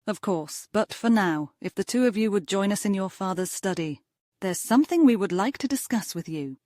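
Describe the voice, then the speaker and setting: A woman's voice: mid-range voice, lively intonation, normal speed, mellow, dry recording, studio quality, natural voice. A woman playing a gracious, genteel aristocratic mother in a comic dub. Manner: polite, genteel.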